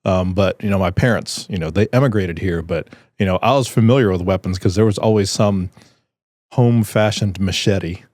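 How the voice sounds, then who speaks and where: A man's voice: low-pitched, normal speed, a little mellow, no room sound, clean studio sound. A man hosting a podcast, asking questions in a chatty, joking way with lots of "you know.". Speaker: podcast host. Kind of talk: casual interview. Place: podcast studio.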